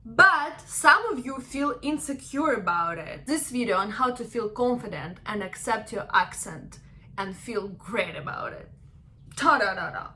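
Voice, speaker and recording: A woman's voice: on the high side, animated, unhurried, sharp tone, a little hiss or hum. A young woman from Ukraine with a Russian accent, encouraging her viewers in casual talk to the camera and often checking in with "right?". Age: young adult. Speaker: vlogger.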